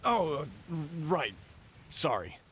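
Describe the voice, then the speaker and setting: A man's voice: fairly high pitch, wildly swooping pitch, takes it slowly, a bit bright, slight background noise, full character voice. A man voicing a timid, rattled merchant in a video game, talking in a nervous, hesitant, pleading way. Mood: shaken. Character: timid, rattled male merchant in fear of bandits. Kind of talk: English video game dub acting. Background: game music.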